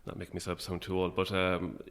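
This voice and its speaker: Deep, gravelly middle-aged Irish man. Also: completely flat delivery, a little fast, a bit bright. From Ireland. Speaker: guest, playwright and actor. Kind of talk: casual interview chat.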